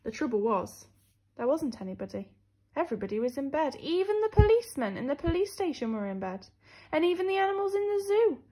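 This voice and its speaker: A woman's voice: sits high, big pitch swings, a little fast, mellow. A woman teacher reading a children's story expressively, with emphatic, dramatic phrasing. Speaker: primary school teacher.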